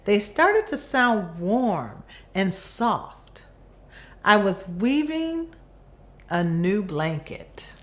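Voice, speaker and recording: A woman reading a children's picture book aloud, expressively and with deliberate pauses between phrases. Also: on the low side. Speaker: volunteer storybook reader.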